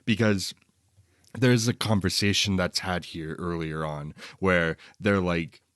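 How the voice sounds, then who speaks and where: A man's voice: sits low, steady tone, slow, fairly bright tone, clean tone, dry recording, clean studio sound. A man co-hosting a film podcast, chatting casually in an enthusiastic, rambling way. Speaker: podcast co-host reviewing films.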